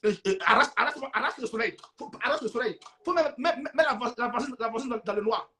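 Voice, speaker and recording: A man's voice: extremely high pitch, fast, bright, forceful tone, a little hiss or hum. A man playing a demanding, jealous girlfriend who nags and repeats herself insistently in a sermon skit. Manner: repetitive, insistent. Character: demanding, jealous girlfriend nagging her sweetheart.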